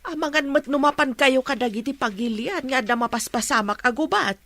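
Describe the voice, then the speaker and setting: A woman's voice: sits high, fairly quick, pushed and bright, dry recording, exaggerated voice. An actress playing a worried, caring mother of a grown son in a radio drama, with an Ilocano accent. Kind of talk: radio comedy-drama acting.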